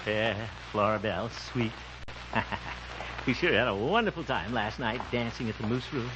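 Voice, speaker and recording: An actor playing a jovial, self-satisfied small-town bachelor in an old-time radio comedy, with a folksy, chuckling, self-assured manner. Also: male voice, medium pitch, moderate pace, lightly acted. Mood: breezy, self-assured. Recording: muffled, noisy old-time radio recording.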